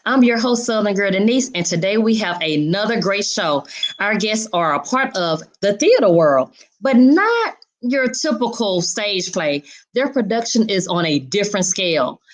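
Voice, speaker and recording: A woman's voice: medium pitch, big pitch swings, normal speed. A woman hosting an upbeat interview show in an informal, chatty way, often repeating short affirmations. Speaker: show host.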